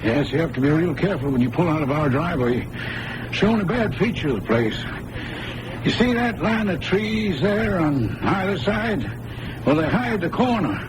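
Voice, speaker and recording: A man playing a retired gentleman farmer and guardian uncle in a 1980s radio drama, earnest and explanatory. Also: a little high, expressive, moderate pace, close to an everyday voice. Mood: genial, explanatory. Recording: noisy old radio broadcast recording.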